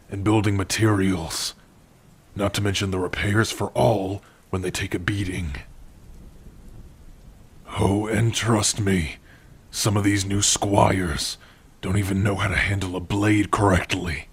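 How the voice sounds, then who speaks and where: A man performs a gentle male orc blacksmith with a low, husky voice. He is tender and protective, talking softly to his partner in an intimate ASMR roleplay. His delivery is very unhurried, with rain behind him. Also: exaggerated voice. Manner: unhurried, tender, with soft sighs and hums. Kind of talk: ASMR roleplay addressed to the listener.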